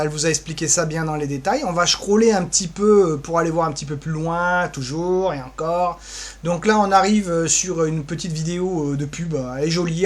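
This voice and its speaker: Rambling, playful man presenting. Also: a little high. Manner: rambling, hesitant, playful asides. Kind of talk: informal screen-scrolling website walkthrough.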